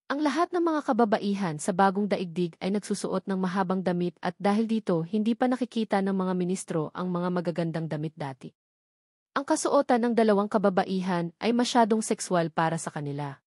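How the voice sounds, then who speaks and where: A woman's voice: mid-range voice, darkish tone, no room sound, studio quality. A woman reading a story aloud as a scripted audiobook narration.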